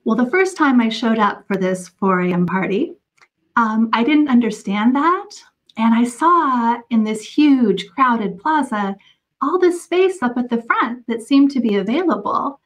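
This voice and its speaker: Measured woman author as interview guest. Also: fairly high pitch, animated. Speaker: novelist being interviewed as podcast guest. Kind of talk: conversational anecdotal interview answers.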